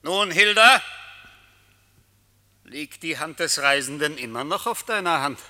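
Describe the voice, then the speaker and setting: An actor playing a mocking, sinister tempter in a theatrical German radio play, insinuating and sardonic. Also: male voice, fairly high pitch, animated, measured pace, pushed and bright, a little hiss or hum, exaggerated voice. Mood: taunting. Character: mocking sinister male tempter who taunts and exposes people. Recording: long reverberant hall.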